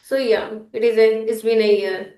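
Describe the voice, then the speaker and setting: A woman's voice: a little high, steady tone, moderate pace, mellow. A woman from India with an Indian English accent, speaking in an English practice conversation, hesitant with many fillers and restarts. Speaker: English learner guest. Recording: echoey room.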